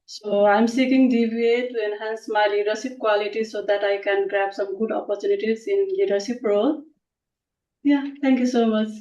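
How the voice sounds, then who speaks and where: A woman's voice: medium pitch, fairly even intonation, normal speed, dark tone. A woman from Nepal introducing herself politely as a student on a class call. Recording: distant, echoey, dull call audio.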